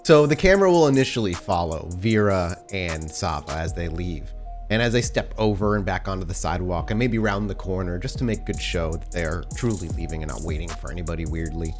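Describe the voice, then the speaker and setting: Low-voiced man narrating a tabletop game. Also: expressive, a little fast, faint music behind. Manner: descriptive, cinematic storytelling. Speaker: game master.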